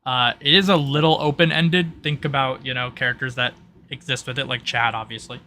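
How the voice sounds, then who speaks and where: A man working as game master, explaining tabletop roleplay rules in a halting way, thinking aloud. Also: mid-range voice, fairly even intonation, bright, forceful tone, a little hiss or hum.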